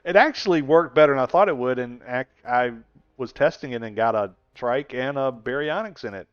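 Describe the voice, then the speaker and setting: A man's voice: mid-range voice, animated, a little fast, smooth, no room sound. A man in his sixties chatting casually on a gaming live stream, rambling and laughing often as he answers viewers. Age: 60s. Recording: dull lo-fi stream audio.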